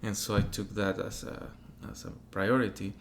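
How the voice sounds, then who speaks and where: Gravelly, low-voiced Mexican Spanish-accented man. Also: level tone, moderate pace, slight background noise. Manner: reflective, acts out quoted voices. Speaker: guest, opera tenor. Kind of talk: casual interview storytelling.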